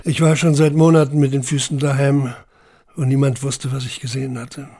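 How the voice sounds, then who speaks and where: Male actor as haunted young deportee, husky, creaky, introspective, deliberate radio play monologue. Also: mid-range voice, little pitch movement, mellow, close to an everyday voice. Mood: introspective, wounded. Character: young deported man, haunted, quietly hurt, recounting camp life. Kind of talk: literary radio drama monologue.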